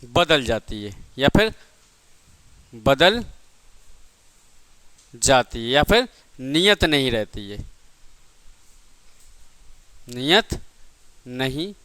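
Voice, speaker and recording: A man's voice: mid-range voice, sing-song, very slow, pauses often, pushed and bright, no room sound. A man teaching an exam-prep physics lesson, asking the class questions and answering them himself, calling his listeners "beta" and "bhai".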